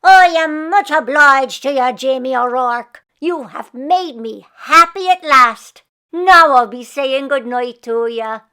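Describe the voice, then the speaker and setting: Woman voicing a slow, high-pitched weary pooka. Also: pushed and bright, clear, resonant tone, exaggerated voice. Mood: grateful, final. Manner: slow, drawn-out, plaintive storytelling. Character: weary male pooka, a once-lazy servant spirit.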